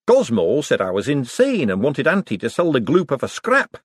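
A man voicing a nervous, excitable male economist who stammers and gets flustered. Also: mid-range voice, moderate pace, breathy edge, no echo, studio quality, voice pushed up.

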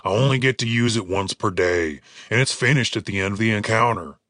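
A man voicing a gruff, blunt barbarian warrior with a slow, laid-back delivery in an audiobook. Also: on the low side, a bit bright, no room sound, clean studio sound, natural voice. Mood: flat, unbothered.

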